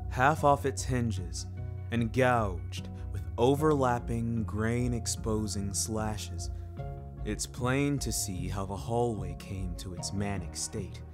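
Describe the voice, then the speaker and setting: Slow, low-voiced man narrating over music. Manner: deliberate, ornate, measured delivery. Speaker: narrator of a serial audio story. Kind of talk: scripted first-person literary narration.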